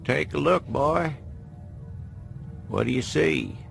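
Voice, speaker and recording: An actor playing a wry, gruff dead hacker's recorded mind, a folksy and laconic old mentor in a radio drama. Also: male voice, on the high side, takes it slowly, heavily acted. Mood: jaunty, drawling. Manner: folksy, laconic, calling the listener boy. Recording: music under the voice. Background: loud music.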